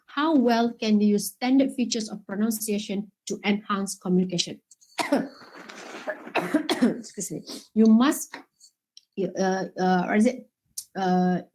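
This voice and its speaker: A woman's voice: mid-range voice, darkish tone, poor audio. A woman teaching an exam workshop in a chatty way, checking in often with "okay?" and "right?". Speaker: IELTS workshop instructor.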